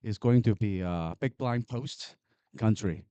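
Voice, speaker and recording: A man from Hong Kong, Chinese as his first language, speaking English with a Hong Kong accent from the floor of a conference, halting and repeating words as he builds to emphatic points. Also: on the low side, warm tone, no echo. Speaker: audience member.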